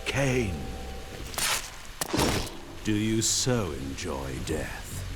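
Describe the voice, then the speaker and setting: Creaky old male sorcerer, wildly swooping pitch, slow fawning theatrical delivery, video game villain, very noisy backdrop. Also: sing-song, exaggerated voice. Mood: taunting. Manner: theatrical, obsequious, drawn-out. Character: fanatical old sorcerer servant of a dark god, wheedling and theatrical. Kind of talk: video game cutscene acting. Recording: noisy game soundtrack behind.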